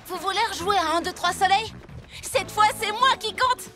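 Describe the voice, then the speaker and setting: Very high-pitched, bold cartoon kid. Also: female voice, moderate pace. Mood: playful, eager. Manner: exclamatory, emphatic delivery. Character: excitable, bold six-year-old child in an animated superhero adventure. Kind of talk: French cartoon dub. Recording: music behind the voice.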